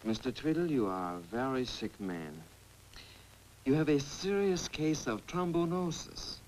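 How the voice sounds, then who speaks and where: A man playing a solemn, officious doctor in an old cartoon, speaking in a deliberate, pompous way. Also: medium pitch, sing-song, darkish tone, rough recording. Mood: grave. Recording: old cartoon soundtrack, faint noise.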